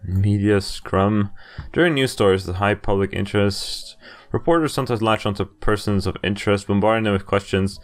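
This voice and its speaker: A man's voice: lowish voice, moderate pace. A man hosting a playthrough, reading a visual novel's text aloud as dramatized narration. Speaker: video game playthrough host. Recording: game music behind the voice. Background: game music.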